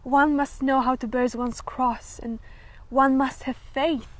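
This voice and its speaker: Casting: woman to play wounded young actress in classic stage drama, high voice, slow halting delivery, fragile but fervent. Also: fairly even intonation. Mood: fervent, exultant. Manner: halting, distracted, emotionally wavering.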